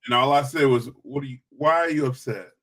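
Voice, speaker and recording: A man's voice: mid-range voice, a little fast, a crowd in the background. A middle-aged man chiming in to a casual chat, protesting and defending himself.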